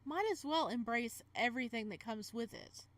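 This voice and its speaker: A woman's voice: fairly high pitch, moderate pace, a bit bright, lightly acted. A woman reading as the flustered young male protagonist of a romance visual novel, in dramatic first-person narration. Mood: hushed, romantic.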